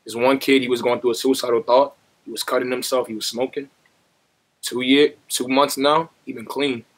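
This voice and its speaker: Husky young man, medium pitch. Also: level tone, moderate pace, low-quality recording. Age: young adult. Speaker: stranger on a video chat, motivational poster. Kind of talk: casual street-slang chat with motivational advice. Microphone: video call.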